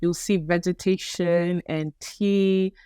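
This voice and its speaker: A woman's voice: lowish voice, steady tone, slow pace, dark tone, clear, resonant tone, no room sound, studio quality. A woman from Kenya, a young adult founder, telling her story reflectively in an interview, joining remotely from home. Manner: reflective, storytelling with frequent restarts. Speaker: guest, company founder. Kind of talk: conversational interview. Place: home in Denver, remote call.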